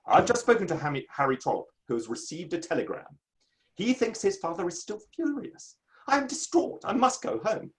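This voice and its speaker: Man acting a proud, indignant Victorian gentleman, swooping high voice. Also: expressive, fairly quick. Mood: distraught. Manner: declamatory, grandiose, formal old-fashioned phrasing. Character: indignant Victorian literary man, proud, theatrical, easily affronted. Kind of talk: online dramatic play reading.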